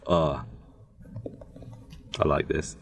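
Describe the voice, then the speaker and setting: A man's voice: extremely low pitch, steady tone, very slow. A man co-hosting a podcast in informal comic banter, often cutting in to hurry things along. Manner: informal, chivvying, interrupting. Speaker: podcast co-host. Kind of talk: casual comedic banter.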